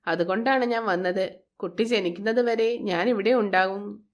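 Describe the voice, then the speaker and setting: A woman voicing a meek, polite young pregnant stepdaughter in a moral story, speaking gently and respectfully. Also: mid-range voice, steady tone, moderate pace, close to an everyday voice. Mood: gentle.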